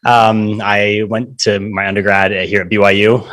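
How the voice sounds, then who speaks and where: A young man from Utah with an American accent introduces himself briefly as a student officer. His voice is on the low side and varies little in pitch. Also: little pitch movement, moderate pace, a bit bright, dry recording. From Utah, United States. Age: young adult. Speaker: student government secretary.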